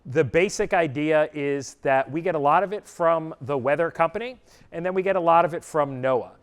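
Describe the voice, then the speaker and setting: A middle-aged man presenting at a conference session, speaking loudly and plainly to a live audience, with frequent "uh" fillers. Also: on the high side, moderate pace, clear, resonant tone. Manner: loud, projecting voice. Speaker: presenter, customer education trainer. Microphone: room mic or none, speaking to live audience.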